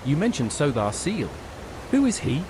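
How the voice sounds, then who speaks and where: A man's voice: on the high side, huge pitch swings, normal speed, background noise. A synthetic male voice playing a curious adventurer asking questions in scripted video game dialogue.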